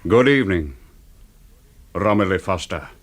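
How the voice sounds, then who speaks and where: An actor playing a grave, bitter older man in a suspense radio drama, speaking with measured, cold courtesy. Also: deep voice, animated. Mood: coldly menacing.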